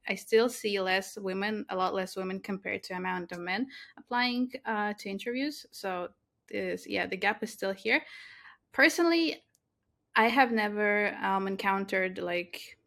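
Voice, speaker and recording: A woman's voice: mid-range voice, vocal fry, fairly bright tone. Young woman, tech guest; earnest, thoughtful, many fillers. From Latvia. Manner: earnest, with frequent fillers and restarts. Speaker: guest, mobile tech lead. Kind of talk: interview conversation.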